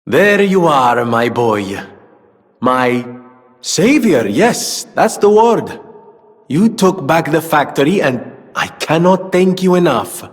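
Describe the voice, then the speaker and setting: A vain young gentleman inventor for a video game, gracious and excitable. His phrasing is florid and theatrical, delivered at an unhurried pace with very animated intonation. The voice sits a little high and rings with long reverb. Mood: gracious, self-important. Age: 30s. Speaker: game character voice actor.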